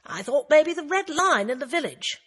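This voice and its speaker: Woman playing a brisk, prickly middle-aged woman. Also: high-pitched, sing-song, voice pushed up. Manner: brisk, curt.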